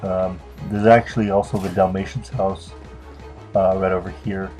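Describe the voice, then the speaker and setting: A man with a low, relaxed voice talks casually through a video game. He rambles and hesitates, often doubting his own facts. Game music plays behind him. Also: normal speed. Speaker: let's play commentator. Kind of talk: casual unscripted game commentary. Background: game music and cutscene dialogue.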